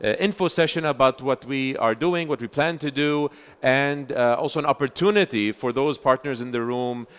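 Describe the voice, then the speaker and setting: A man giving a conference talk as chair of a research center, speaking loudly into a microphone with frequent filler sounds and restarts. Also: mid-range voice, moderate pace, smooth. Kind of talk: conference presentation. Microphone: handheld or stage microphone.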